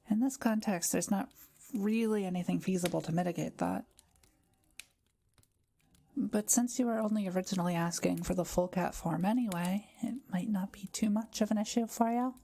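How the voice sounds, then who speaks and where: A woman voicing a kind, calm, scholarly forest witch in an intimate audio roleplay, explaining things in a gentle, measured way. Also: mid-range voice, creaky, slight background noise, natural voice. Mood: calm, explanatory.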